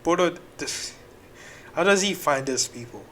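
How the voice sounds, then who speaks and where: A man's voice: fairly high pitch, animated, measured pace, fairly bright tone, a little hiss or hum. A man hosting a reaction video, talking back at clips in a rambling, exasperated way. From Nigeria. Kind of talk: casual reaction commentary.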